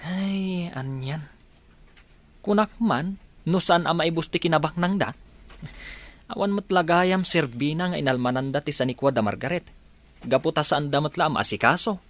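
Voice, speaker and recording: A man's voice: a little high, normal speed, pauses often, a bit bright, close to an everyday voice. A man with an Ilocano accent playing an eager, respectful village go-between in a radio drama. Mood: concerned.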